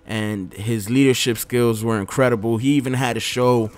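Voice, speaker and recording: Young male vlogger, mid-pitched and smooth, loose rambling delivery with restarts. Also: steady tone, normal speed, darkish tone. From Dominican Republic. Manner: rambling, frequent restarts and self-corrections. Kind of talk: casual opinion vlog.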